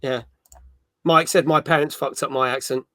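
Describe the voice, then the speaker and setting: A middle-aged man hosts a casual gaming chat, rambling cheerfully and reading out viewers' messages. His voice is slightly high and very bright. The sound is dry and close. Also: fairly even intonation, normal speed, dry recording. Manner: rambling, upbeat. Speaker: host.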